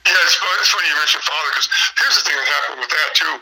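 A man's voice: a little high, very fast, vocal fry, sharp tone, breathy edge, rough recording. A man in his fifties from Illinois, telling his personal story as a podcast guest and often repeating words as he restarts. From Illinois, USA. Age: 50s.

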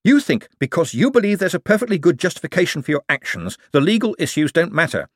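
A man voicing a wry, exasperated, fast-thinking man who argues sardonically in an audiobook story. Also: mid-range voice, very animated, dry recording, clean studio sound, pitched above the performer's own voice. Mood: exasperated, outraged. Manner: sardonic, argumentative.

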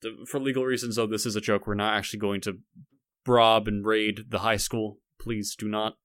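A young man with a fairly low voice talks casually on a podcast. He rambles a little, restarting and leaning on "like". The sound is dry, with no room on it. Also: little pitch movement, normal speed, a bit bright, no room sound. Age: young adult. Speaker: podcast co-host.

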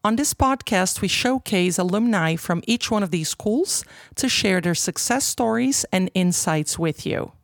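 A woman hosts a podcast in a polished, enthusiastic manner. Her voice sits at a middle pitch and sounds dry, with little room around it. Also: lively intonation, unhurried, hardly any pauses, no echo. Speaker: podcast host. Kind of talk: scripted podcast interviewing.

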